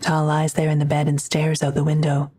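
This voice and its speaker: A woman's voice: low voice, monotone, a little fast, dark tone, slightly rough. A woman reading scripted narration for an audio drama, as its narrator.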